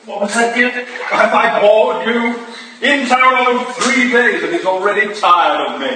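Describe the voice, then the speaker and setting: A flirtatious, flippant Victorian rake, played by a man in a staged play. His delivery is teasing and theatrical in a high voice, heard distantly in a very echoey room. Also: a little slow, sharp tone, slight background noise. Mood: breezy. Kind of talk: staged reading of a period play. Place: live theatre reading in a reverberant room.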